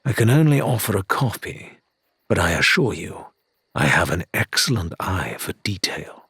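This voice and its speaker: A man's voice: sits low, sing-song, moderate pace, pushed and bright, husky, no echo, studio quality, full character voice. A man voicing a sly pirate turncoat who speaks amiably and persuasively, with a curt edge, in an audiobook. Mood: amiable, sly.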